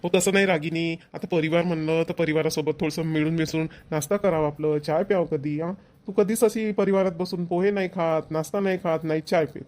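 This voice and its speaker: A man's voice: fairly high pitch, fairly even intonation, brisk, few pauses, darkish tone, natural voice. A man playing a family-minded, exasperated young husband in a cartoon, scolding and lecturing with a Vidarbha Marathi accent. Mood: reproachful. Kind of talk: amateur family cartoon voice acting.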